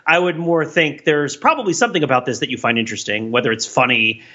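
A man co-hosting a podcast, talking analytically and emphatically in a casual, animated discussion, on a slightly dull microphone. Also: medium pitch, fast. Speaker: podcast co-host.

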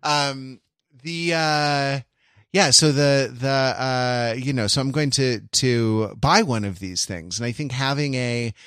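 A man in casual podcast conversation, co-hosting with a halting, digressive manner and frequent self-correction. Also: mid-range voice, a bit bright, clean tone, no echo, studio quality. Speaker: podcast co-host.

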